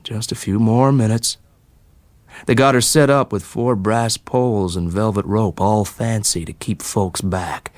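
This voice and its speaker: A man voicing a rough, folksy fellow, opinionated and bitter but kindly, in colloquial rustic speech. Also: on the low side, steady tone, unhurried, no echo, lightly acted. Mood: knowing, grumbling.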